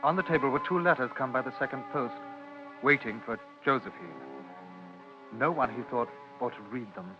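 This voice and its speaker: A British man narrating a radio drama in a measured, literary storytelling manner. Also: mid-range voice. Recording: dull old radio broadcast with music.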